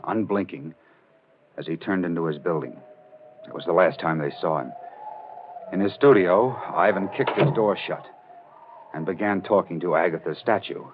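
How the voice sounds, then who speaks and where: Brief: male actor as wry, knowing narrator for 1940s mystery drama. Deep, measured, with a tinny vintage radio sound. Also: slight background noise, natural voice. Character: knowing male storyteller recounting a local murder case. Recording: thin, phone-like 1940s radio recording.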